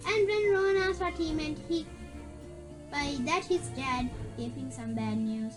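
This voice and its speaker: A high-pitched voice reads a spooky children's story aloud. The reader often stumbles, restarts and repeats words. Music plays clearly behind the reading. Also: unhurried. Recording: background music.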